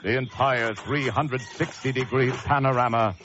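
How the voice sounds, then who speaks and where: A man's voice: mid-range voice, fairly even intonation, moderate pace, background noise, close to an everyday voice. An actor playing a haunted lighthouse keeper who narrates his ordeal with brooding suspense in a vintage radio thriller. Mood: tense. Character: haunted male lighthouse keeper recounting horror. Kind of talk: dramatic first-person radio thriller narration. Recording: dull vintage radio recording with music.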